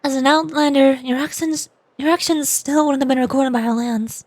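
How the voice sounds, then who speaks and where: A woman voicing a frustrated, matter-of-fact craftswoman from a fantasy game, explaining things in a measured, exasperated way. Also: high voice, steady tone, a little mellow, dry recording, natural voice. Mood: explanatory. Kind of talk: video game dialogue read aloud.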